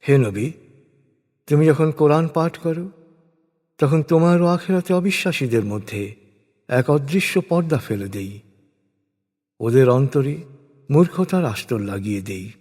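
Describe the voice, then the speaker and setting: A man reads translated scripture as a scripted narrator, in a solemn style with measured phrases and deliberate pauses. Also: on the high side, warm tone. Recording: long reverberant hall echo.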